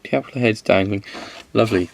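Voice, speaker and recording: A man with a slight cold-hoarse voice, casually reviewing miniatures, rambling and correcting himself as he goes. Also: fairly low pitch, fast, slight background noise. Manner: rambling, self-correcting, counts aloud.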